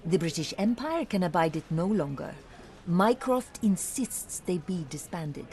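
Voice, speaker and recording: A woman's voice: on the low side, sing-song, a little slow, a little mellow. An actress voicing a playful, sly young woman journalist in a detective game, teasing and theatrical. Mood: businesslike.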